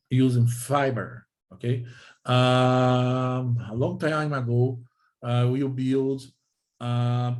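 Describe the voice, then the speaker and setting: A male lecturer with a Brazilian accent and Portuguese as his first language explains technical material. His voice sits at an average pitch. He proceeds haltingly in non-native English, restating phrases and pausing to check that listeners follow. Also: a little mellow. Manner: halting, repeats and checks with "okay?".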